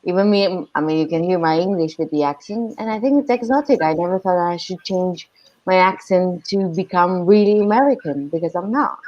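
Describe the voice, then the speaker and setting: A woman from Iran with an Armenian accent, co-hosting a podcast and chatting casually over a video call in a plain-spoken, slightly halting way. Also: lowish voice, a little mellow, muffled recording. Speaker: podcast co-host.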